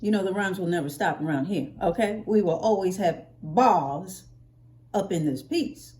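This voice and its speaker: An American woman vlogger chatting casually to her viewers in a playful, expressive way, stretching words for emphasis. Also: lowish voice, normal speed. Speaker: hair care vlogger.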